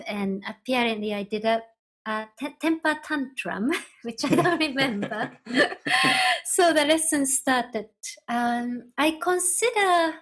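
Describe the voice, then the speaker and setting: A woman from Japan, a Japanese native speaker with a Japanese accent, talking thoughtfully as a podcast guest, with pauses and restarts. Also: a little high. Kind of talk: podcast interview.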